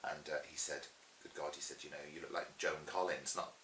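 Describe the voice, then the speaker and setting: A man's voice: very deep voice, little pitch movement, brisk, bright, forceful tone. A middle-aged man telling anecdotes in an interview as a pantomime performer, chatty, theatrical and emphatic. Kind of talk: animated anecdotal interview talk. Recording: roomy echo with faint hiss.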